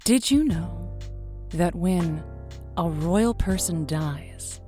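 A woman's voice: fairly low pitch, drawn-out words, mellow, soft background music. An actress playing an effusive, coaxing female radio host who gives chatty, encouraging romance advice in an audio drama. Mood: cozy announcing. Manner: effusive, coaxing, pet names for listeners. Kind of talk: semi-improvised audio drama, late-night call-in radio host.

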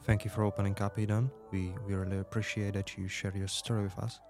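A man playing a calm underground radio host in a fiction podcast, moderating gently. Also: fairly low pitch, fast, over music, close to an everyday voice. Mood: calm, attentive.

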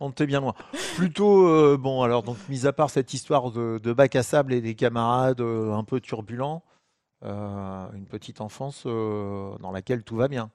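A man's voice: mid-range voice, normal speed, a little mellow, no echo, clean studio sound. A man co-hosting a podcast, asking questions in a casual, teasing way. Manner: jokey, teasing. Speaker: podcast co-host. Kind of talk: casual interview chat.

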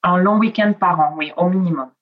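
Woman from the south of france, italian first language, lowish voice, chatty with lots of umms, bit muffled sound. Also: brisk. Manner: chatty, frequent hesitations, trails off softly. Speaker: interviewee. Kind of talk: casual interview chat.

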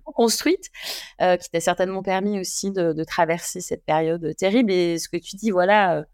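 A man's voice: high-pitched, normal speed, clean tone, no room sound, studio quality. A man hosting an interview, asking long rambling questions full of fillers. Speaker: podcast host.

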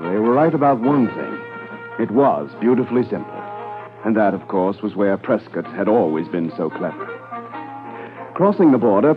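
A man narrating a 1950s radio crime drama in a measured, scripted style. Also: on the low side. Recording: vintage radio transcription, muffled, faint music behind.

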